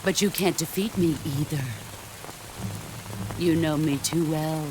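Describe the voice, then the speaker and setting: An actress playing a stern, middle-aged legendary soldier and mentor in a video game, speaking in a solemn, deliberate, commanding way. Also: female voice, sits low, steady tone, very noisy. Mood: solemn, resolute. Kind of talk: dramatic video game acting.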